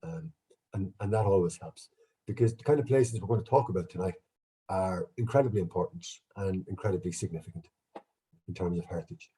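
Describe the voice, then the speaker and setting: An Irish archaeologist giving a relaxed, chatty online lecture with slides, sounding bunged up with a cold. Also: male voice, deep voice, brisk, husky, rough recording. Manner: relaxed, chatty, self-deprecating. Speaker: guest speaker, archaeologist. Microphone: video call.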